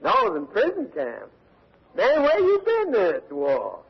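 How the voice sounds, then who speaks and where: An actor playing a boastful, taunting Confederate prisoner of war with a Southern accent, in 1940s radio drama style. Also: male voice, very high voice, wildly swooping pitch, takes it slowly, gravelly, breathy edge, exaggerated voice. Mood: cocky. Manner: boastful, taunting, drawling folksy talk. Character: brawny, cocky Confederate soldier prisoner from Mississippi. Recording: muffled old 1940s radio recording.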